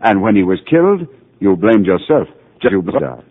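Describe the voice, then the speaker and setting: Patient middle-aged psychiatrist, low husky voice, gentle explaining manner, 1940s radio drama, tinny broadcast. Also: male voice, very animated, moderate pace, heavily acted. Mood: reassuring, gentle. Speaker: narrator and doctor.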